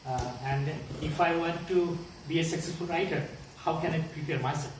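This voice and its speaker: Bangladeshi-accented man in the audience, native Bengali speaker, halting, heard far off with heavy echo and noise. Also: a little high. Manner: halting, searching for words. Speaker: audience member. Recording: far off, very echoey, noisy.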